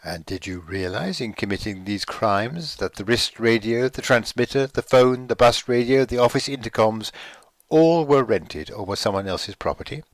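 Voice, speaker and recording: A man acting a composed, clinical psychiatrist in a radio play, speaking in a measured, formal and reserved way. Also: medium pitch, animated, dry recording, natural voice. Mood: detached, questioning. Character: composed, clinical male psychiatrist assessing a prisoner. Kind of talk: radio play acting, amateur dramatic reading.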